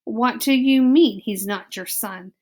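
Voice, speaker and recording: A woman's voice: fairly high pitch, slow, a little mellow. A woman voicing a vain, dramatic mother mouse with a French accent and broken English. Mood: haughty. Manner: theatrical, haughty, broken English phrasing.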